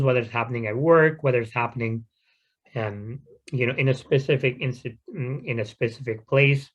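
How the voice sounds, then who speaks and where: A man addressing a community gathering in an unscripted talk. His pitch sits in the ordinary middle range. He explains things in a careful, measured and earnest way. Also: a bit bright. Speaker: guest speaker at a community talk.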